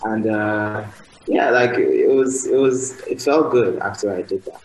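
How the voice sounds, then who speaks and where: A young man with a Nigerian accent, his first language Igbo, chatting softly and casually as a guest in a radio interview. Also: on the low side, a little slow, a little hiss or hum. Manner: soft-spoken, relaxed, lots of fillers. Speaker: guest musician. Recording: roomy sound with faint ambience.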